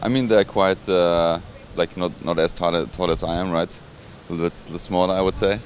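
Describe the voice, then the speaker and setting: A man's voice: sits low, fairly even intonation, brisk. A man answering street interview questions hesitantly, with many fillers. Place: outdoors on a city street. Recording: street noise behind.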